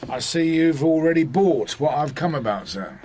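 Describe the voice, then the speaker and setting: An actor playing a reserved Victorian London detective sergeant in a radio drama, putting terse, polite questions. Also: male voice, fairly high pitch, animated, normal speed, crowd noise, natural voice. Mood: polite, probing.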